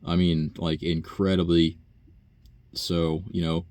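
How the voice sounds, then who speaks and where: A young man talking through a rambling, casual personal monologue, profane and full of fillers and sighs, with a low buzzy voice. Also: monotone, takes it slowly, a little mellow, smooth, no echo. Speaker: vlogger.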